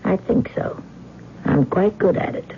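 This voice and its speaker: A woman's voice: mid-range voice, expressive, measured pace. An actress playing a proud, stubborn old woman devoted to her cats in a radio drama, with a tart, imperious manner. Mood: sly, assured. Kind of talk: old-time radio drama acting with first-person narration. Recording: muffled, noisy vintage radio recording.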